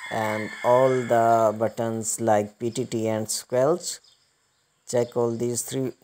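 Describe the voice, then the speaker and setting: A man giving step-by-step hands-on repair instructions in a halting, repetitive way. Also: mid-range voice, level tone, darkish tone, slight background noise. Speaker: repair tutorial presenter.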